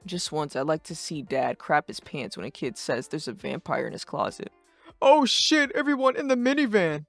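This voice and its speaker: A performer voicing a brash, sarcastic, too-cool teenage boy who rants and swears with deadpan cool in a fan-dubbed comic. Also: mid-range voice, moderate pace, creaky, dry recording. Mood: scornful ranting. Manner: foul-mouthed, ranting, deadpan cool. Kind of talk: fandub of a webcomic chat. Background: music.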